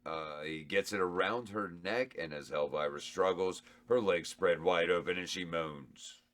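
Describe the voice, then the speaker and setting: A man with a very low voice reads out stage directions at a script table read. He keeps a matter-of-fact tone, even through the bawdy parts. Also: measured pace. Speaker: narrator reading stage directions. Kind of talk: reading script directions aloud.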